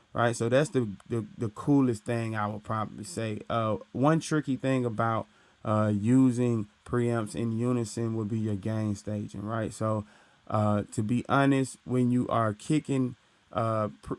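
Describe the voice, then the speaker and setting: A young man with a deep voice casually explaining recording gear, talking into his studio mic and tagging his points with "right?". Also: darkish tone, no echo. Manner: explains loosely with frequent "right?" and "uh". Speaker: recording engineer teaching. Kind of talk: casual tutorial walkthrough. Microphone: studio microphone through his interface.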